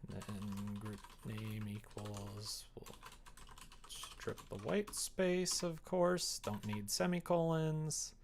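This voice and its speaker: Male streamer, unhurried and gravelly, casual thinking-aloud chat, keyboard clicks behind. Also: lowish voice, noisy background. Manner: chatty, mutters to himself while working. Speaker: live streamer, host.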